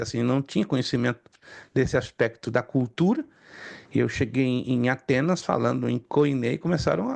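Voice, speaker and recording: A man's voice: fairly high pitch, creaky. A man teaching a theology class, wandering into stories and putting questions to his students. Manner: digressive, asks the class questions. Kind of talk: classroom lecture.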